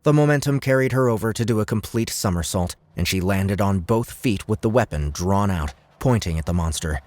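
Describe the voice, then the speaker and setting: Deep-voiced male audiobook narrator. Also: lively intonation, brisk, darkish tone. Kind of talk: dramatic scripted narration.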